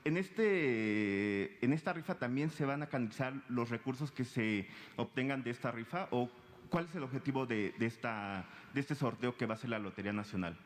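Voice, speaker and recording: A man's voice: medium pitch, expressive, normal speed. A young man asking a press question at a briefing, hesitant with fillers, speaking into a handheld mic. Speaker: newspaper reporter. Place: large hall, seated in press area. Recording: reverberant hall.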